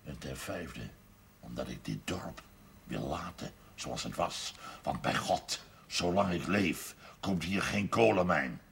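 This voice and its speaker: A man's voice: a bass voice, lively intonation, slow, creaky, exaggerated voice. A middle-aged man playing a blunt, shrewd village businessman in a television period drama. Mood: firm, resolute. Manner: emphatic, plain-spoken, forceful. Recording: faint background noise on TV audio.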